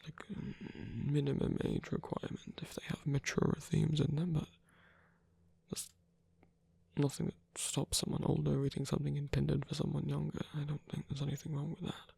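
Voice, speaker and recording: Male actor as weary rebel villain for ASMR audio drama: husky, creaky, halting murmur, tender and gently teasing, very close and dry. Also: on the high side, little pitch movement, dry recording, full character voice. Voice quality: breathy, gravelly, often near a whisper. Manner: hesitant, halting, murmured with pauses.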